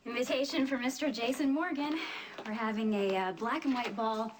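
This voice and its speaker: A woman's voice: on the high side, steady tone, normal speed, lightly acted. An actress playing a supportive, loyal young woman in a television soap opera. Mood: fond, wistful.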